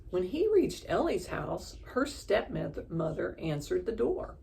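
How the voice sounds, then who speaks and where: A woman reading a children's storybook aloud as an expressive storyteller. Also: on the low side, slow, creaky.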